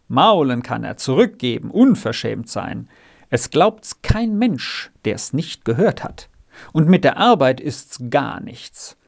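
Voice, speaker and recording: A woman's voice: extremely low pitch, very animated, slow pace, vocal fry, darkish tone, heavily acted. A woman voicing a strict farmer's wife in a storybook, scolding and commanding in manner. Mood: scornful complaint. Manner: stern, commanding, threatening.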